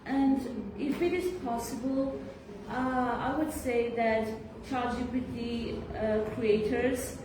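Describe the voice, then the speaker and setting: A teenage girl student giving her view in a classroom discussion, speaking haltingly with many fillers. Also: a little high. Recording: echoey room from far off, clear background noise.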